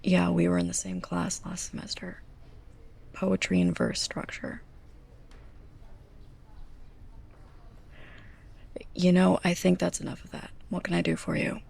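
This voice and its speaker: A young woman playing a sarcastic, scolding, snobbish librarian's assistant in a close-up ASMR roleplay, breathy and often near a whisper. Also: on the low side, moderate pace, a little mellow, heavily acted. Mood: curt. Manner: sarcastic, exasperated, pointed delivery.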